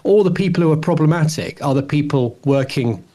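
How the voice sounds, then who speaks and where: A man's voice: on the high side, fairly quick, dark tone. A middle-aged man with a British accent co-hosting a podcast, chatting casually in a rambling, stop-start way. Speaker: podcast co-host. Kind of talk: casual chat about television.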